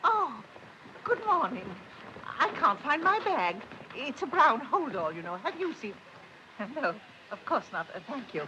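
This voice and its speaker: A kindly elderly English lady, fussy and fluttery, her fairly high voice swooping about. She frets in little exclamations. The old film soundtrack hisses underneath. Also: big pitch swings, a little slow, bright, forceful tone, muffled recording, exaggerated voice. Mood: flustered. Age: older. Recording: hissy 1930s film soundtrack.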